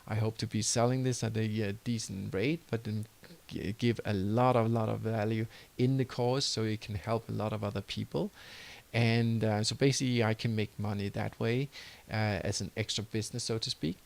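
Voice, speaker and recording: A man with a Danish accent talks a viewer through building a website, stopping to fix his own slips and starting over. His voice sits fairly low and has a gravelly edge. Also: fairly even intonation, normal speed. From Denmark. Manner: loose, self-correcting, apologizes for slips. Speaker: web design course instructor. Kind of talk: screen-recorded tutorial and course pitch.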